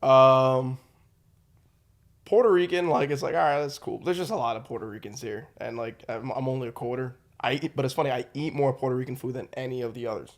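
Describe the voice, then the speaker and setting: A young man on a podcast, relaxed and chatty. He agrees easily and talks with a middle-pitched voice. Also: fairly quick. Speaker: podcast guest. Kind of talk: casual chat.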